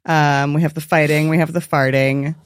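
A woman's voice: sits low, steady tone, normal speed, no room sound. A woman co-hosting a podcast, chatting casually and laughing often. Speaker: podcast co-host. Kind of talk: casual chat.

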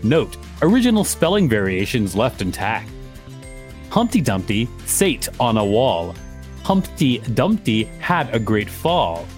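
A man reads reference material aloud as a podcast co-host. His mid-pitched voice moves in animated swings and drops into casual asides, with faint music behind it. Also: unhurried. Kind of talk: reading an encyclopedia article aloud with casual asides.

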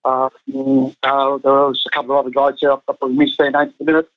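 A man's voice: mid-range voice, completely flat delivery, fast, no echo. A man in his fifties, born in Chile, who coaches a national team, talking casually in an interview with many ums and restarts. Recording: muffled lo-fi dry sound.

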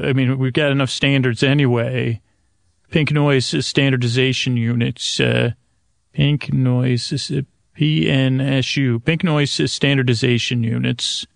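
A man hosting a sleep podcast in a creaky, gravelly voice, meandering and hesitant in a soothing, lulling bedtime monologue. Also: medium pitch, fairly even intonation, dry recording, clean studio sound. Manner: meandering, halting, hesitant, tangent-prone. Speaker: podcast host.

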